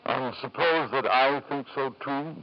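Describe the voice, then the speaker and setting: A man's voice: mid-range voice, measured pace, close to an everyday voice. An actor playing a middle-aged lord in an old-time radio drama, urbane and insistent, hemming as he persuades. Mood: persuasive. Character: smooth, domineering wealthy lord and father. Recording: muffled 1940s-50s radio recording.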